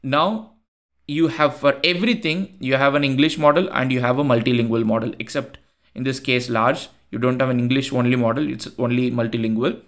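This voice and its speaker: A man's voice: medium pitch, fairly even intonation, fairly quick. Indian man with a thick accent. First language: Tamil. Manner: earnest, repeats phrases for emphasis. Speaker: tech YouTuber. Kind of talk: screen-share tutorial. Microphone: headset mic.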